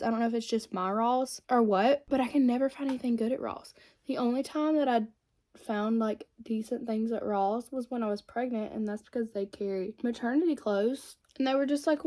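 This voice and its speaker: A woman's voice: on the high side, steady tone, brisk, dark tone. A woman, chatting casually and rambling while she does her makeup. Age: 20s. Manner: chatty and rambling, many fillers. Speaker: beauty vlogger.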